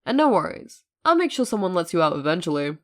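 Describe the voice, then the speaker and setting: A woman voicing a calm, stubborn man who talks in a dry, matter-of-fact way in an audio story. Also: fairly low pitch, fast. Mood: smug, lying.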